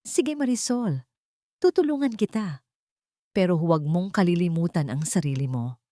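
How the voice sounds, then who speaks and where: A woman's voice: lowish voice, animated, moderate pace, dry recording, natural voice. Woman voicing a kindly, motherly older shopkeeper. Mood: caring. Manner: motherly, gently instructing.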